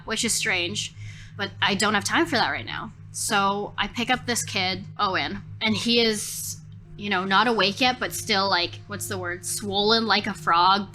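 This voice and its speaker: A woman's voice: medium pitch, pushed and bright, poor audio. A woman improvising horror story narration as a player in a tabletop game, thinking aloud expressively. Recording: music behind the voice.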